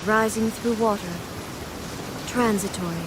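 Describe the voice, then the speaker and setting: Actress doing a cryptic solemn lady for a game, poetic n detached, highish voice, watery rainy noise behind. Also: fairly even intonation, unhurried, natural voice. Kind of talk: scripted game narration.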